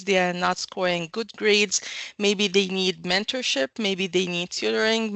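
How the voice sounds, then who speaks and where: Woman academic, gravelly voice, dry close mic, measured interview answers. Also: medium pitch, a bit bright, no room sound. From Egypt. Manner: measured, explanatory, with fillers and self-corrections. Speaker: university academic guest.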